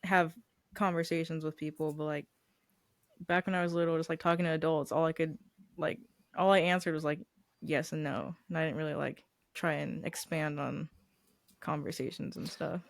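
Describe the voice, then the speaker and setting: Young woman, gravelly fry in her voice, dry close sound, shy and halting. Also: low voice, steady tone, no room sound. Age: young adult. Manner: soft-spoken, hesitant, lots of "like". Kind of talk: casual chat.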